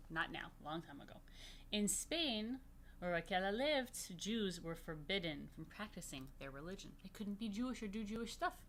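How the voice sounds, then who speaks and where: A woman's voice: lowish voice, a bit bright. Woman reading to kids, noisy recording. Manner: playful, explains simply with repetition. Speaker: rabbi reading to children. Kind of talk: children's story time, read aloud with chatty asides. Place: virtual story time recorded in a room.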